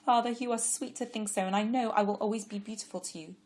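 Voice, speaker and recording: A woman voicing a shy young woman who speaks softly and modestly about herself. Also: mid-range voice, fairly even intonation, fast, voice pushed up, close to an everyday voice. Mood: tearful.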